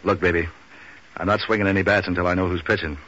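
An actor playing a tough, cynical, street-wise man for hire in a 1940s radio detective show, with an American accent and clipped, deadpan wisecracking delivery. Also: deep voice, fast. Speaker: lead character and narrator. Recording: dull, noisy old radio recording.

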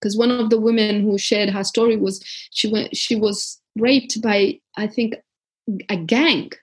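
A woman's voice: medium pitch, fairly even intonation, measured pace, husky. A woman from Africa, founder of a community garden, telling her story earnestly in an interview, with many fillers and restarts.

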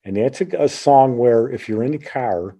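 A chatty podcast host in his sixties drifts from one nostalgic aside to the next. His voice is mellow and dark-toned, sitting at an ordinary pitch for a man. He talks casually, like he's telling stories over coffee. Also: moderate pace. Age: 60s. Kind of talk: casual reminiscing podcast chat.

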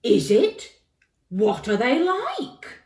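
A woman's voice: fairly high pitch, wildly swooping pitch, drawn-out words, darkish tone, echoey room, pitched above the performer's own voice, heavily acted. A woman playing a friendly storybook bear with a kindly, easily worried manner. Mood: nervous. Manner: reassuring, then timid. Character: friendly bear who turns nervous and fearful.

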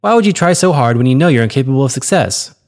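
A man's voice: mid-range voice, lively intonation, quick pace, a little mellow, lightly acted. A man voicing a cool, controlling female corporate interviewer in a read-aloud story. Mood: cool, commanding. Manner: clipped, commanding.

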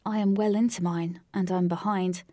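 A woman's voice: lowish voice, fairly even intonation, normal speed, mellow, dry recording, clean studio sound, natural voice. A woman voicing an awkward, earnest, self-doubting young girl in a storybook reading.

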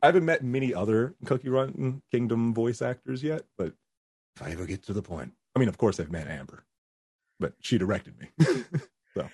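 Young guy w a husky low voice, super lively, laughs a lot, dry close sound. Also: moderate pace, no room sound. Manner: laughs often, chatty and upbeat. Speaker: voice actor guest. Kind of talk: casual interview chat.

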